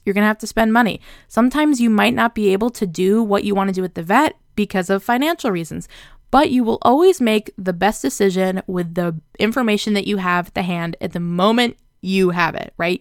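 A woman's voice: mid-range voice, creaky, no echo, clean studio sound. A young woman talking casually and earnestly to her viewers, filling her sentences with "like" and "right?". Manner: chatty, earnest, many fillers like "like" and "right?". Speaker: vlogger. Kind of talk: casual reflective talk to camera.

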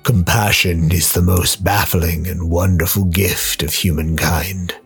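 A man playing a sly, towering demonic gardener in a radio play, courteously menacing, teasing and unhurried. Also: sits low, fairly even intonation, vocal fry, husky, voice pushed down, heavily acted. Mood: amused menace. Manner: unhurried, teasing, mock-polite. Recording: music behind the voice.

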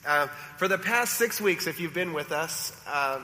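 Hoarse pastor preaching in a reverberant hall. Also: male voice, on the high side, animated, unhurried, sharp tone, a little hiss or hum. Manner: informal, self-deprecating humor. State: hoarse from a sore throat. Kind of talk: sermon. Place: church sanctuary. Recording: reverberant church hall, faint ambience.

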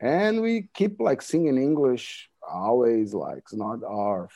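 A Brazilian man in his forties with a Brazilian Portuguese accent, chatting as a guest in an interview, halting and repeating words, laughing often. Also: mid-range voice, animated, mellow. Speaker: band member, guest. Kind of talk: casual interview chat.

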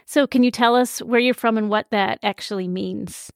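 A woman hosting a faith podcast, narrating an introduction and then asking friendly, measured interview questions. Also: fairly high pitch, steady tone, no room sound, studio quality.

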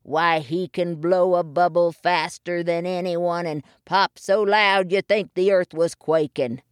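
A woman's voice: on the low side, fairly even intonation, a little slow, clean tone, no room sound, studio quality, natural voice. A woman voicing an awed small-town deputy in a western storybook, talking in boastful folksy phrases.

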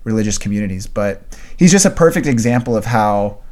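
A young man co-hosting a podcast, talking in an earnest, casual way and clearing his throat now and then. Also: fairly low pitch, animated, fairly quick, darkish tone. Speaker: podcast co-host and writer. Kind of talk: casual chat.

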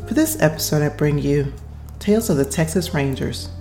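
A man's voice: a little high, expressive, normal speed. A man hosting a show, reading a scripted introduction. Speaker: podcast host. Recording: music behind the voice. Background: music.

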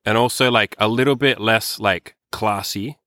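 Deep, bright-voiced Australian man. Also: steady tone, normal speed, no room sound, studio quality. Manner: loose, sweary, filler-heavy talk. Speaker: podcast host. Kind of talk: casual chat.

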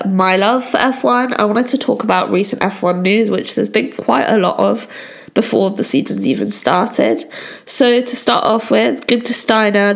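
A young woman from England hosting a solo podcast, her voice croaky from a cold, chatting along with lots of "kind of" and "um". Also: mid-range voice. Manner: chatty, many fillers like "kind of". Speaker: podcast host.

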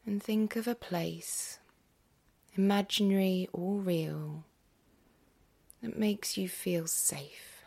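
A woman guiding a yoga nidra meditation in a hushed, soothing way, gently and unhurriedly, with a breathy, husky voice that often nears a whisper. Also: medium pitch. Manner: gentle, unhurried, with long pauses.

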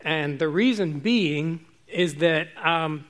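A man preaching a relaxed, informal sermon to his congregation, chatty and self-joking. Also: a little high, takes it slowly.

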